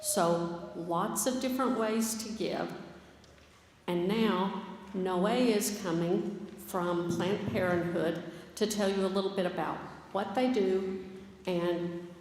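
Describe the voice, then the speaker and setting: A woman explaining, clearly and deliberately, how to give to a church congregation. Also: medium pitch, steady tone, darkish tone, a little hiss or hum. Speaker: finance and operations director. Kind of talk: church announcement. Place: large church sanctuary. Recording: echoey hall with long reverb.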